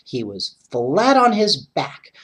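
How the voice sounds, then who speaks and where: A bedtime story reader narrating in an animated storybook style, with chatty asides to children. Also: medium pitch, takes it slowly, slightly rough.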